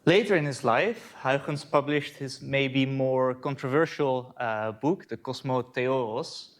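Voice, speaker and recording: A man with a Dutch accent speaks at a middle pitch in a lecture hall. He is chatty and talks like someone giving a conference talk. He fills his sentences with hesitations and quick "right?" checks. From Netherlands. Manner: conversational, frequent "uh" and "right?" asides. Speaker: astronomy lecturer.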